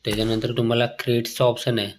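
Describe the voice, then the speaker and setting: Male gaming host. Casual and blunt, very even intonation, noticeable background noise with clicks. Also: medium pitch, racing. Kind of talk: casual gameplay commentary with slang.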